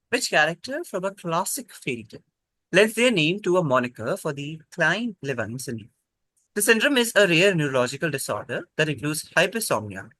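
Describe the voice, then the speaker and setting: Bright, animated male quiz host. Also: a little high, no echo. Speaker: quiz host reading questions. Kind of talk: scripted quiz question reading.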